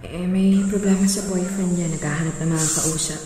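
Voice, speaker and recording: An actress playing a careless, defensive wife in a Filipino radio drama. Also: female voice, lowish voice, steady tone, moderate pace, dark tone, a little hiss or hum, lightly acted. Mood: sleepy, casual. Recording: very echoey hall, clear music behind.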